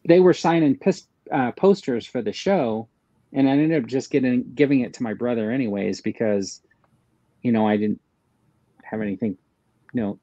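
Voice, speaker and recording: A man telling anecdotes in casual conversation, hesitant and halting, on a slightly dull lo-fi recording. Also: mid-range voice, warm tone, no room sound. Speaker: panelist on a fan livestream.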